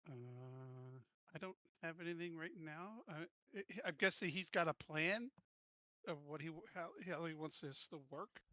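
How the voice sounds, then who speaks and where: A man's voice: a little high, unhurried, bright, forceful tone, dry recording, lo-fi sound. A man talking casually as a player in a tabletop roleplaying game.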